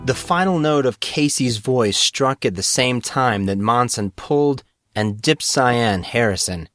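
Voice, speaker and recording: A man's voice: medium pitch, animated, a little slow, a bit bright, faint music behind. A man reading scripted fantasy fiction as the narrator of a story podcast.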